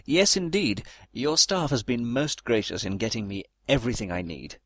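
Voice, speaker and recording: A man's voice: mid-range voice, expressive, moderate pace, fairly bright tone, dry recording. A man playing a pompous, sentimental British statesman in a comedic audio drama, speaking formally and effusively in a British accent. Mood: courtly. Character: pompous, sentimental British male statesman, childlike teddy lover.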